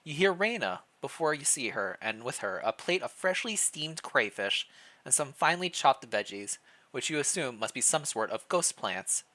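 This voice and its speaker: A man with a bright, forceful voice at an ordinary male pitch reads a story aloud for a live stream. He moves between narrating and casual, chatty asides. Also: very animated, moderate pace.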